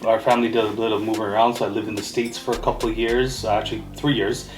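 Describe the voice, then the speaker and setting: A man's voice: fairly low pitch, little pitch movement, fast. A man from Trinidad who grew up in Canada, giving earnest, rambling interview answers peppered with "you know" and "right?". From born in Trinidad, raised in Canada from age eight. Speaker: interview guest, mortgage brokerage owner. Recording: roomy echo, music playing behind. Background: music.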